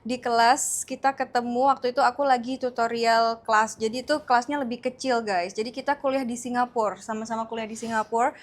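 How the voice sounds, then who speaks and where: A woman in her thirties from Indonesia chats with her partner in a teasing, playful way. Her voice sits high and quick to tease. She talks freely as the show's co-host. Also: steady tone. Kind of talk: casual couple chat.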